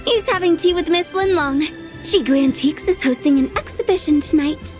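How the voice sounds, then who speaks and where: A woman's voice: high-pitched, normal speed, lightly acted. A woman voicing a mischievous, teasing young woman in a video game cutscene. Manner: teasing, impish. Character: playful young woman funeral director, mischievous. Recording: game audio with music behind.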